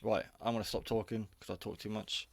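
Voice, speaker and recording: A man's voice: fairly low pitch, fairly even intonation, brisk, vocal fry. A man giving a casual, unscripted hobby radio review in a rambling, matter-of-fact, modest way.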